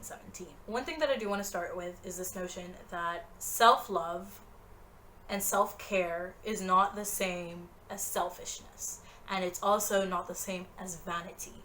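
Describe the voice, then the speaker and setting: A woman's voice: fairly low pitch, a bit bright, a little hiss or hum, rough recording. A woman in her twenties talking earnestly to the camera in a motivational vlog, direct and encouraging. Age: 20s. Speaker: vlogger.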